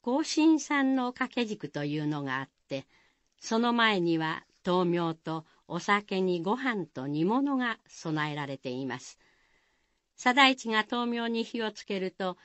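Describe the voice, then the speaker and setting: A woman's voice: on the low side, no room sound. A woman narrating a Japanese village folktale in a scripted radio drama style.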